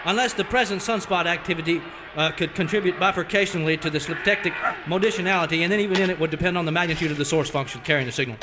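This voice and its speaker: An actor playing an eager, brainy cowboy sidekick, excitable and earnest, in a parody of an old radio serial. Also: male voice, sits high, very fast, few pauses, background noise, close to an everyday voice. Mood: scholarly.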